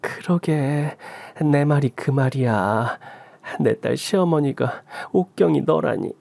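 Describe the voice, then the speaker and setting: A man voicing a calm, remorseful middle-aged birth mother who speaks softly and apologetically in a story drama. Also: fairly high pitch, slow pace, creaky, no room sound. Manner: soft, apologetic. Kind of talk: story radio drama reading.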